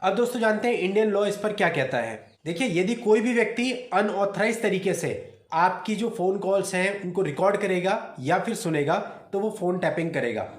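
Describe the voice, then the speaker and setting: A man with a high-pitched voice explains things like an advocate teaching his viewers. He is didactic and speaks straight to the listener. The sound is roomy, with clear echo. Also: expressive, moderate pace. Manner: didactic, addresses listeners often as friends. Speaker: advocate presenting a legal advice channel. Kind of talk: explanatory legal talk to camera.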